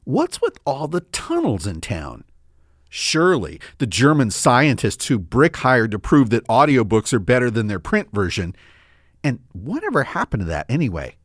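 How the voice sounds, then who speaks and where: A man's voice: medium pitch, huge pitch swings, moderate pace, no echo, studio quality. A man playing a cautious but loyal small-town adventurer in an audio drama. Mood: intrigued.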